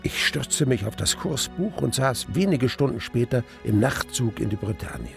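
An actor playing a brooding young gentleman who narrates his memories in a measured, reflective radio play style. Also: mid-range voice, animated, creaky, soft background music. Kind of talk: first-person narration in a German radio play.

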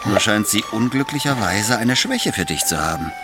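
Low-voiced, mocking villain played by a man. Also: animated, normal speed, very noisy, natural voice. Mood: mocking menace. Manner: taunting, mock-polite. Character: menacing Soviet male villain, mocking, coolly cruel. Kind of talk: radio drama acting. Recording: music behind the voice. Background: music.

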